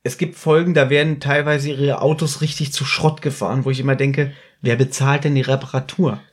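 A man's voice: mid-range voice, flat delivery, a little fast. A man, hosting a podcast in a casual, chatty style as he recaps and discusses a story. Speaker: podcast host leading an episode discussion. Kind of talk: casual chat, recapping an audio drama episode.

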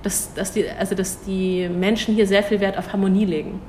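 A young woman answering interview questions in a thoughtful way, hesitating and restarting, quick to laugh. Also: mid-range voice, little pitch movement, darkish tone, slight background noise. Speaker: cultural attaché at an embassy.

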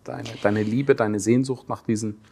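A man's voice: fairly low pitch, fairly even intonation, normal speed, gravelly, darkish tone, breathy edge. A man hosting a talk show, asking chatty, playful questions and cracking jokes, with frequent restarts. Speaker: talk-show host, actor. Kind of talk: casual talk-show interview.

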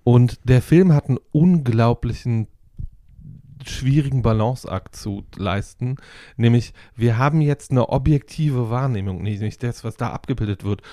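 A man co-hosting a podcast, calmly analysing how a film tells its story, with a few restarts. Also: mid-range voice, normal speed, dry recording, clean studio sound. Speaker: podcast co-host. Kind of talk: casual chat analysing a film.

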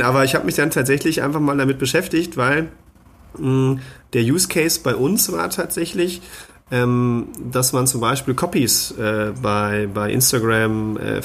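Slightly creaky male podcast co-host. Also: medium pitch. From Germany. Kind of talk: casual podcast chat.

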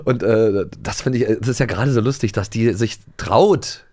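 A middle-aged man with a lowish voice hosts a podcast. He chats casually and rambles, doubling up words while he looks for the next phrase. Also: moderate pace, a little mellow. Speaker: podcast host. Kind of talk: casual chat about radio plays.

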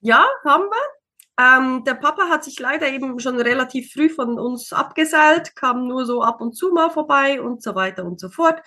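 Woman, 30s, interview guest; relaxed chatter, light laughs, slightly raised pitch. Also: normal speed. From Switzerland. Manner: chatty, many fillers, laughs easily. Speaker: interview guest, single mother. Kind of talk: casual conversational interview.

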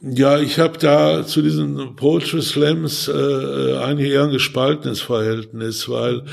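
An older man talking in an interview in a halting, hesitant way, often seeking agreement with small tag questions. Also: mid-range voice, steady tone. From Ruhr region, Germany. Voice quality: gravelly, husky. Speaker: author, interview guest. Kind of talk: conversational interview.

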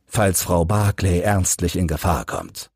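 A man voicing a calm, authoritative, knowing gentleman detective in an audiobook. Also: sits low, measured pace, vocal fry, slightly rough, no room sound, clean studio sound, full character voice. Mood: measured, grave. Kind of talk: audiobook character reading.

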